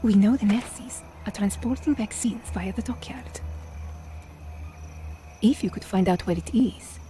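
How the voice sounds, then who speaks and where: An actress playing a proud, resolute Italian woman who leads wartime partisans in a video game, grave and measured, with an Italian accent. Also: mid-range voice, dark tone, whispered, lightly acted. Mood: urgent, grave. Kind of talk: video game cutscene acting.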